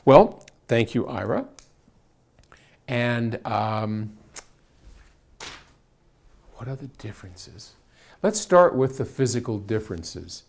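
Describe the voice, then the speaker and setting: A man's voice: medium pitch, sing-song, takes it slowly, gravelly, long pauses, darkish tone. An older American man talking casually as a host answering viewer questions, explaining technical detail step by step in a conversational way.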